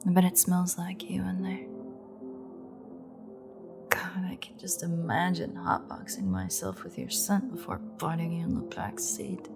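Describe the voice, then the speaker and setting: Slow, breathy, menacing vampire woman, acted out. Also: fairly low pitch, music in the background. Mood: sardonic, fond. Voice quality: breathy, often dropping to a near-whisper. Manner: slow, taunting, drawling. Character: menacing, teasing female vampire gloating over captive hunter. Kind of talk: intimate roleplay audio drama monologue.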